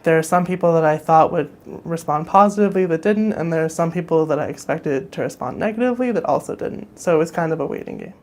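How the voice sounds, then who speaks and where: Low-voiced young woman, candid. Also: quick pace, hardly any pauses, darkish tone. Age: 20s. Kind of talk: interview testimony.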